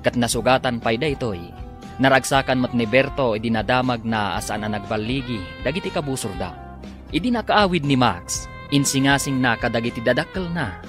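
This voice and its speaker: A male announcer narrates a radio drama with an Ilocano accent and a dramatic scripted delivery. His medium-pitched voice rises and falls widely, with music playing behind him.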